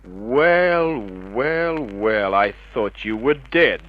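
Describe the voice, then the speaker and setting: An actor playing a wisecracking, hard-boiled private detective in an old-time radio drama, sardonic and clipped. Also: male voice, medium pitch, animated, very slow. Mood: cocky. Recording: dull vintage radio recording.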